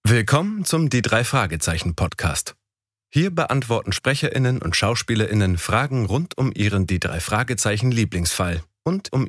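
A man's voice: lowish voice, no echo, studio quality. A man reading a scripted podcast introduction as narrator.

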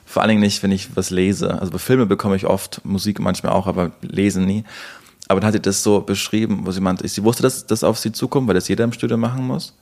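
A man's voice: deep voice, fairly even intonation, fast talker, a little mellow. A man hosting a podcast in a casual, conversational way. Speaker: podcast host.

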